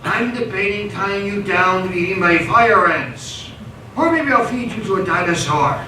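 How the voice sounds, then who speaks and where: A man's voice: sits high, expressive, moderate pace. An actor playing a grumbling, sarcastic, reluctant male supervillain with gadgets in a live radio play. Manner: sardonic, exasperated. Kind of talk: live radio theatre acting. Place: stage performance in a roomy hall. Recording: echoey hall, distant mic, faint noise.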